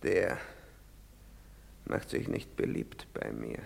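An actor playing a coarse, bullying soldier who mocks and taunts in a drama. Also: male voice, a bass voice, lively intonation, takes it slowly, gravelly, full character voice. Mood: menacing. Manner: mocking, swaggering, taunting. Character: coarse bullying male soldier, loud and jeering. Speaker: soldier in a stage play. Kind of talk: radio drama acting. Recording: echoey hall reverb.

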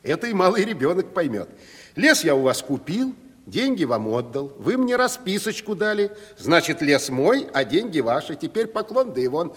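An actor in a theatrical radio play, playing a sly, stubborn provincial timber merchant with a folksy, mock-deferential way of haggling. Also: male voice, on the high side, big pitch swings, normal speed, breathy edge. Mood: stubborn, smug. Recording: reverberant 1970s radio play studio.

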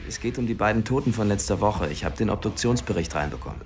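A man playing a casual, brisk police colleague in a radio crime play, informal and matter-of-fact. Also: lowish voice, animated, a little mellow.

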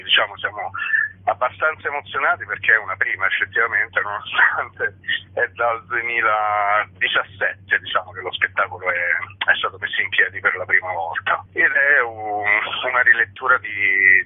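A man's voice: deep voice, no room sound. Man talking over a tinny phone. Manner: talkative, hedging with "diciamo". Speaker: playwright and director, guest. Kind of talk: radio interview by phone. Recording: tinny phone line, dry.

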